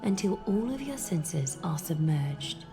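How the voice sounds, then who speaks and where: Serene priestess, lowish pitch, slow formal delivery, breathy, video game style, clear background music. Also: female voice, very animated, full character voice. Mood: hushed, hypnotic. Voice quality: breathy, at times whispering. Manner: slow, deliberate, formal and commanding. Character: serene, solemn priestess guiding a sacred water ritual.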